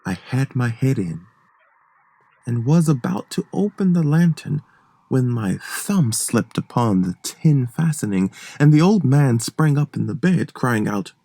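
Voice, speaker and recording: A man playing a sly, gleeful murderous madman in a theatrical, halting gothic horror reading that drops into whispers. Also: mid-range voice, very animated, mellow, dry recording, voice pushed up. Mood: tense, confiding.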